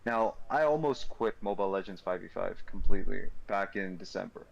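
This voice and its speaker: A man's voice: low-pitched, animated, brisk, vocal fry, highs cut off. A man from Kerala with a Bangalore accent, a caster speaking earnestly on a gaming panel discussion. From Kerala, India.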